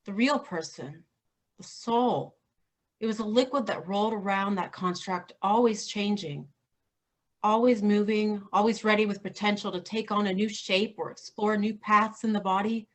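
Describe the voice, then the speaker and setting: A woman's voice: mid-range voice, moderate pace, lightly acted. A woman reading the part of a weary, earnest woman fighter in a staged play reading. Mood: reflective. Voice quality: earnest, reflective delivery.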